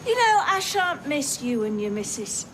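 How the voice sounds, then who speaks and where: An actress playing a sharp-tongued neighbour with a mocking manner. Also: female voice, high voice, very animated, moderate pace, background noise.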